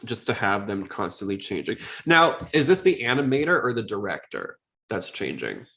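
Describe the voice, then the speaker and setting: A man's voice: lowish voice, big pitch swings, normal speed, a bit bright. A man hosting a podcast in a casual, inquisitive, conversational way. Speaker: podcast host.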